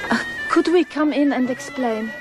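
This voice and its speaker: An actress as a high-voiced, stubborn young woman. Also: normal speed, loud music behind the voice, natural voice. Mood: curious, bright. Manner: coaxing, upbeat, determined. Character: sweet-seeming, stubborn, coaxing younger woman. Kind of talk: radio drama acting.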